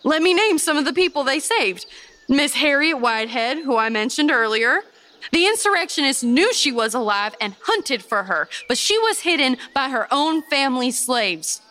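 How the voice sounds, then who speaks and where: Earnest period gentlewoman, fairly high voice, formal, measured, firm, dramatized interview. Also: big pitch swings, heavily acted. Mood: firm, defiant. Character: earnest, devout 1830s Virginia gentlewoman giving testimony.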